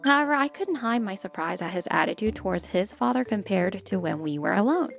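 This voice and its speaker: A woman voicing a polite, put-upon stepmother who narrates her own story in an expressive manga dub. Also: mid-range voice, brisk, gravelly. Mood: narrating, troubled. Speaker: narrator and lead character. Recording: music bed behind the voice.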